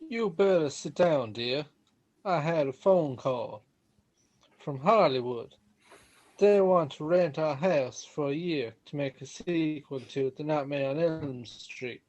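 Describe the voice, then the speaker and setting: In a dull, muffled recording, a man plays a mild family father in a radio play. He speaks slowly and hesitantly, in a slightly high male voice. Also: a little mellow. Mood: mild, deliberate. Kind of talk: amateur radio drama acting. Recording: dull lo-fi sound.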